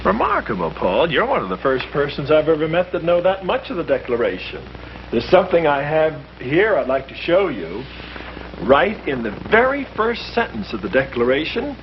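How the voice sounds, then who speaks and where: A man explaining history to friends in earnest, emphatic scripted dialogue from a 1950s film. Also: on the high side. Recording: muffled old film soundtrack with faint hiss.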